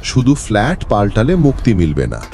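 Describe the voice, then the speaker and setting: A man's voice: lowish voice, huge pitch swings, brisk, warm tone. A man narrating a horror cartoon story in a dramatic, storytelling way. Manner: dramatic storytelling with an audience question.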